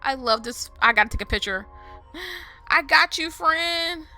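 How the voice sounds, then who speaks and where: A woman streamer giving casual, excitable, exclamatory reactions as she plays a game. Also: high voice, measured pace, faint music behind. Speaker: gaming streamer. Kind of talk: casual gameplay reaction commentary.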